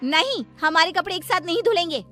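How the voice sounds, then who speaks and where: A woman's voice: very high voice, expressive, fairly quick, sharp tone, faint music behind, pitched above the performer's own voice, exaggerated voice. A woman voicing a bossy, quarrelsome younger sister-in-law in a cartoon, with a sarcastic, scolding manner. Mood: indignant. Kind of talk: Hindi cartoon voice acting.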